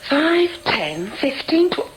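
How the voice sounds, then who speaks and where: A woman's voice: sits high, big pitch swings, slow, slight background noise, heavily acted, low-quality recording. An actress playing a down-to-earth, easygoing woman bakery workmate in a radio drama, with an offhand manner. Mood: puzzled.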